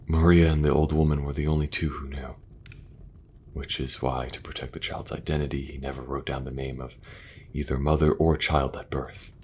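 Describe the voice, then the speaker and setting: A man acting as a benevolent king and brother in a fantasy audio roleplay, speaking in an earnest, measured way. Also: extremely low pitch, level tone, breathy edge, exaggerated voice. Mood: grave, earnest.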